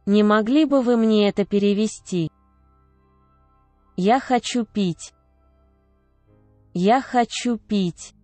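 A woman reading short phrases aloud one at a time, each clearly enunciated and measured. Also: medium pitch, mellow, dry recording, soft background music. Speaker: Russian phrase reader. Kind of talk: slow repeated phrase reading.

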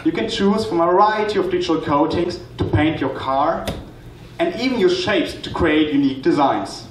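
A man in his twenties giving a rehearsed stage pitch as a student presenter, recorded in a hall. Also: moderate pace. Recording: echoey, noisy hall, distant microphone pickup.

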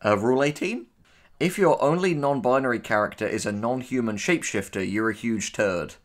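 A man's voice: medium pitch, brisk. A man co-hosting a casual reaction commentary, blunt and opinionated in how he talks. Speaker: co-host, writer reading out and reacting to tips.

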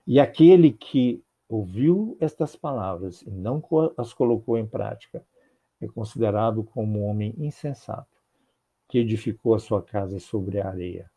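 An older man with a Brazilian accent delivers a spiritual talk over a video call, his voice mellow and warm. He speaks in measured, rhythmic phrases, piling up repeated clauses. The sound comes through a small earphone mic in a home office. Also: on the low side, animated, breathy edge. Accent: Brazilian Portuguese. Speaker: spiritist lecturer. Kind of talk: religious talk.

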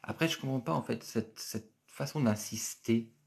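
A man, hosting a casual live stream from a small office and answering viewer comments, chatty and repetitive with many filler pauses. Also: mid-range voice, creaky, slight background noise. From France. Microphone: phone camera at arm's length.

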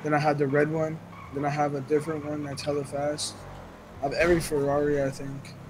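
Slangy man gaming over clear background noise. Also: a little high, monotone, fairly quick. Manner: slangy, profane. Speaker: online roleplay gamer. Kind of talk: casual gaming chat. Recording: noisy game audio with engine sounds. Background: game car noise.

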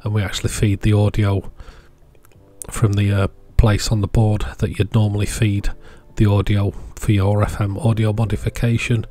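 A man's voice: lowish voice, little pitch movement, normal speed, warm tone. A man giving a casual, plain-spoken voice-over, explaining hobby electronics as the channel's presenter. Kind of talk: casual product showcase voice-over.

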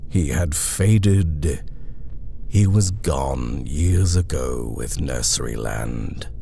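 Deep, slow, gravelly man reciting horror poetry. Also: animated, a little hiss or hum. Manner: brooding, deliberate, often hushed. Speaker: poetry reader. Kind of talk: dramatic horror poem recitation. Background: eerie sound design ambience.

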